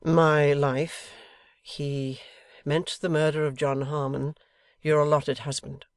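A man reading as a solemn, affectionate young Victorian husband in a storybook, measured, formal and emphatic. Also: a little high, little pitch movement, dry recording, clean studio sound. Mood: grave.

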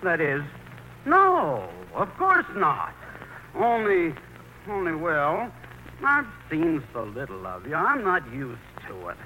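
A man's voice: on the high side, wildly swooping pitch, slow pace, pushed and bright, heavily acted. An actor playing a timid, hesitant grown son tied to his mother, in 1940s radio acting style. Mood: flustered, bashful. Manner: hesitant, stammering, bashful. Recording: noisy old radio broadcast recording.

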